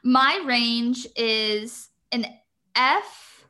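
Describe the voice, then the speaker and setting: A woman's voice: fairly high pitch, very slow, pushed and bright. A woman in casual chat who explains singing terms in detail and laughs often.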